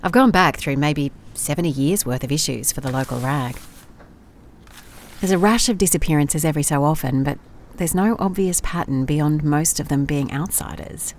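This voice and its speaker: A woman's voice: deep voice, moderate pace, slight background noise, natural voice. A woman playing a wry, methodical private investigator in an audio drama, musing and dictating case notes in a dry manner. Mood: musing, businesslike. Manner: dry, musing, clipped note-taking. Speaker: lead actor in audio drama. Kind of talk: first-person audio drama case log.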